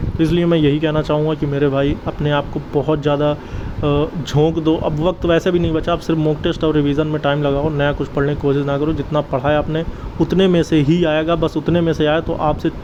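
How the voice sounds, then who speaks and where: A man giving informal exam updates and advice in an earnest, urging way, addressing listeners like brothers. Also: on the high side. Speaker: exam-update video creator and advisor. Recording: dull lo-fi audio, clear outdoor traffic-like noise.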